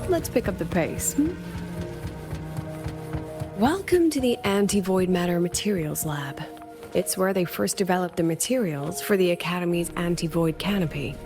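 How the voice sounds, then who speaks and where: A woman playing a composed, wry and playfully teasing female academy mentor with a quiet sadness, in scripted video game dialogue. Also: fairly low pitch, moderate pace, lightly acted. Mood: brisk, coaxing. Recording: studio voice over game music.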